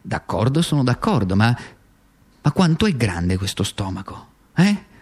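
A man's voice: medium pitch, sing-song, breathy edge. Man acting a tormented, wildly swooping stage monologue. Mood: impassioned, pleading. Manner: anguished, halting, rhetorical, repeating phrases for emphasis. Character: tormented, self-accusing worn-out communist stage actor, male. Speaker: actor performing a monologue. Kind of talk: theatrical monologue in radio drama.

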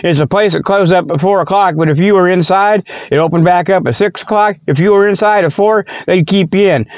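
A man's voice: high-pitched, clean tone, dry recording. A man hosting a comic radio show in a folksy, joking monologue that keeps wandering off track. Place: inside a truck. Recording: dry, dull lo-fi audio.

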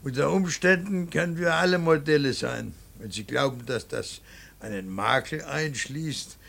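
Gravelly, brooding old painter-professor. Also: male voice, on the high side, fairly bright tone. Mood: formal, impatient. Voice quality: gravelly, husky. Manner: halting, brooding, emphatic preaching. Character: solitary, devout, stern older male painter-professor and father. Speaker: radio drama actor. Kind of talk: German radio play acting.

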